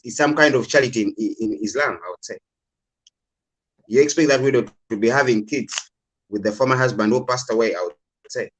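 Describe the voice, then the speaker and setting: A man's voice: medium pitch, fairly bright tone, poor audio. A man from Uganda calling in to a discussion, talking casually and hedging often with repeated fillers. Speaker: caller.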